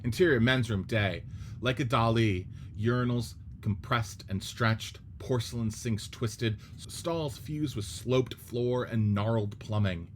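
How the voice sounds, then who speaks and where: A man narrating the stage directions of a radio play script in a steady, descriptive reading. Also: mid-range voice, a little slow, a little hiss or hum.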